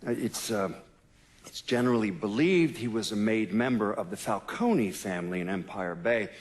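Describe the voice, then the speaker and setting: An actor playing a matter-of-fact FBI agent recalling old cases in a documentary-style game interview. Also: male voice, lowish voice, lightly acted. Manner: dry, explanatory, deliberate.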